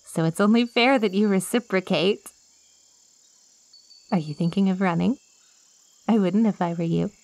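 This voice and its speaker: A woman acts out a possessive monster girl made of living plant, lonely and sweetly coaxing. She speaks slowly and theatrically in an ASMR roleplay, teasing the listener, on a very dry, close recording. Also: on the low side, a little mellow, no echo, natural voice, low-quality recording. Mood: adoring, possessive. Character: lonely, possessive yandere plant girl, ancient yet girlish.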